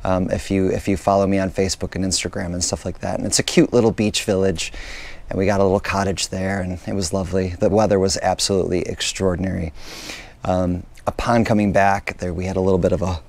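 Hoarse man chatting in his garden. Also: low voice, quick pace. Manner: chatty, relaxed, with many fillers. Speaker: gardening channel host. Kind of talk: casual garden tour vlog. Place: outdoors in a backyard garden.

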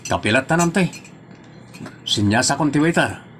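Actor as earnest, suspicious young Ilocano husband, comedy drama: very high male voice, nagging questions, faint noise. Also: lively intonation, normal speed, a bit bright. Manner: questioning, insistent.

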